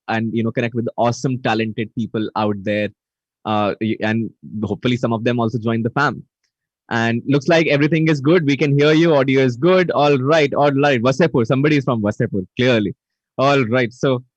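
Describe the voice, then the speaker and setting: A man's voice: medium pitch, no echo. A man hosting a live online event over a video call, greeting viewers and reading their chat messages aloud. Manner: energetic, repeats greetings, reads chat aloud. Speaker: event host. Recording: dull, dry video call audio.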